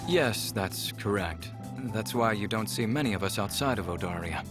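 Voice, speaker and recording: An actor playing a polite, earnest, travel-weary alien scientist in a visual novel, speaking in a measured, explanatory way. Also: male voice, lowish voice, expressive, over music, close to an everyday voice. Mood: earnest, informative. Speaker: game character.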